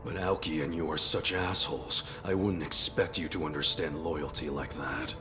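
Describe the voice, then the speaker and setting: A loyal yakuza underdog out for revenge in a video game. The voice sits low, and the talk is rough, blunt and defiant, with a street fighter's profanity. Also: male voice, steady tone, normal speed, music in the background. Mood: scornful. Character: loyal, hot-blooded male yakuza underdog, defiant and vengeful. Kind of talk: dramatic video game cutscene acting.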